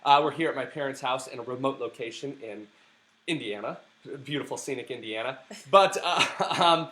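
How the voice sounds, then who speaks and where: A man with a hoarse, sore throat hosting a casual live stream from a family home, talking with eager conviction and plenty of ums and restarts. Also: medium pitch, moderate pace, bright, forceful tone. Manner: enthusiastic, preachy advocacy with many fillers and restarts.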